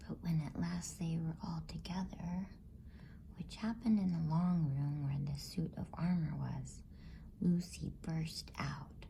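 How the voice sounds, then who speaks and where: A woman whispering softly and breathily in a gentle, unhurried ASMR bedtime style. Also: low voice, creaky, a little hiss or hum. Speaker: host and storybook reader.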